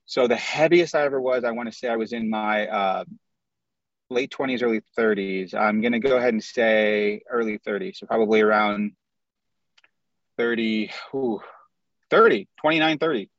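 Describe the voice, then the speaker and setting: A man's voice: on the low side, normal speed, fairly bright tone, dry recording. Man in his thirties on a lo-fi call. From US Midwest. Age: 30s. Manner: candid, storytelling, lists things in runs. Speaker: guest. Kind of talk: casual interview over a video call. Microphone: video call. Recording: dull lo-fi video call audio.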